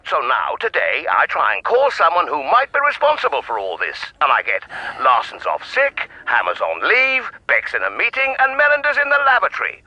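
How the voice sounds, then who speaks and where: An actor playing an irritable, sarcastic, overworked forensic scientist who speaks with exasperated emphasis in a radio drama. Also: male voice, on the high side, huge pitch swings, moderate pace, sharp tone, no room sound, lo-fi sound, full character voice.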